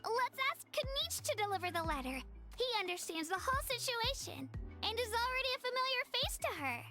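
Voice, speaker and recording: A woman voicing a tiny, eager, childlike female fairy companion in an anime video game, with a squeaky, perky delivery. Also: sing-song, brisk. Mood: cheerful, eager. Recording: music playing behind.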